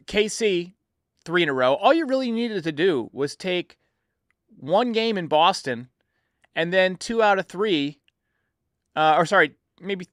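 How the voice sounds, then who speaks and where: Male sports podcast host, slightly high voice, dry close sound, conversational and explanatory. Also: a bit bright, no echo. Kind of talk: casual baseball commentary and call-in talk.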